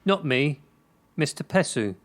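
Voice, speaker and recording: A man's voice: on the high side, animated, measured pace. A man acting in a radio play as a stern, controlling gymnastics coach.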